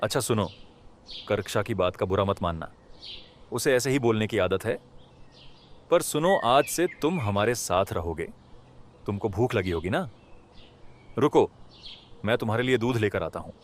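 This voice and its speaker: A man's voice: lowish voice, expressive, normal speed, pauses often, a little hiss or hum, natural voice. A man playing a tender-hearted poor villager in a cartoon moral story, with exclamatory, rustic colloquial speech. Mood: gentle. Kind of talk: animated moral story acting.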